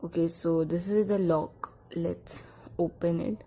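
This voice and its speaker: Lower-pitched, mellow woman presenting an unboxing. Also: fairly even intonation, measured pace. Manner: simple, repetitive short phrases while demonstrating. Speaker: product review vlogger. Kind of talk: casual unboxing and demonstration.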